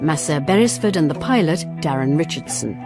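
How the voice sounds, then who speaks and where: A woman reading scripted broadcast credits as an announcer, in a measured list-reading manner. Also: sits low, animated. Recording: music behind.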